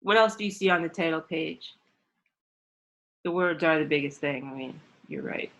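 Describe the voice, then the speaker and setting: A woman's voice: low-pitched, moderate pace, fairly bright tone, low-quality recording. A woman hosting an interactive storytime lesson, prompting her listeners with questions as she talks through a book. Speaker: storytime host and teacher. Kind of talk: interactive read-aloud discussion.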